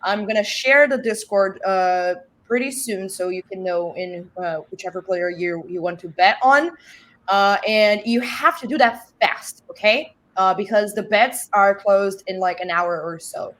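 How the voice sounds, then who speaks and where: A woman's voice: mid-range voice, measured pace, fairly bright tone. A Brazilian woman with a Brazilian accent, a native Portuguese speaker, hosting a casual live stream, laughing often and swearing lightly. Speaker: stream host.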